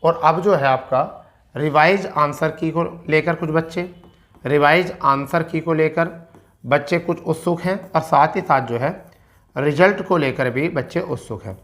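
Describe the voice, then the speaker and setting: A man's voice: a little high, a little slow, a bit bright. A man giving an informal explainer to students as a coaching teacher, repeating key phrases for emphasis. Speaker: exam coaching teacher giving recruitment updates.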